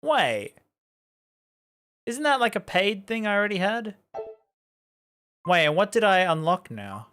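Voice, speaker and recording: A man's voice: high-pitched, very animated, unhurried, long pauses, a bit bright, faint music behind. A chatty, amused man giving casual commentary as he plays a game. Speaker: gaming streamer.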